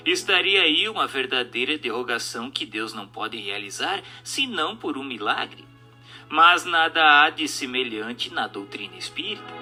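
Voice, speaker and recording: Guy reading out a book all dramatic and rhetorical, really sharp bright voice, music behind him. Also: mid-range voice. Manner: rhetorical, emphatic, formal oratory. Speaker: narrator reading a book aloud. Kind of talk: scripted dramatic narration of a spiritual text.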